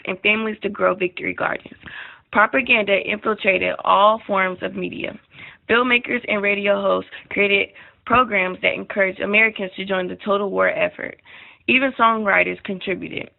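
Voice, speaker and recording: A woman's voice: medium pitch, steady tone, normal speed, gravelly. A woman reading scripted documentary narration on a muffled, narrow-band recording.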